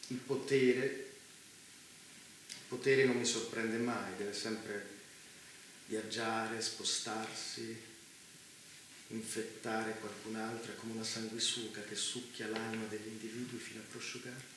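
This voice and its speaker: A man's voice: fairly low pitch, little pitch movement, measured pace, long pauses, close to an everyday voice. An actor playing a cynical, megalomaniac man of power in a theatrical dystopian drama, speaking in a pompous, emphatic, grandiloquent way. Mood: brooding. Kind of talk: theatrical stage drama dialogue in dystopian play. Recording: very echoey room, faint noise.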